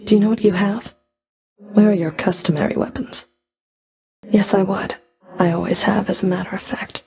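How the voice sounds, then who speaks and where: A woman's voice: mid-range voice, fairly even intonation, moderate pace, creaky, mellow, close to an everyday voice. A woman playing a stern, scornful Fury of the underworld in a video game, speaking in a cool, clipped, disdainful manner. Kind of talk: video game combat and dialogue voice lines.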